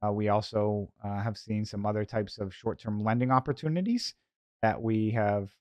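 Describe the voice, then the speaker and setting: Low-voiced Boston man, dry podcast sound. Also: normal speed, darkish tone, no echo. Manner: rhetorical self-questioning, conversational asides. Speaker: podcast host, investor. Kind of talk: solo podcast commentary, informal.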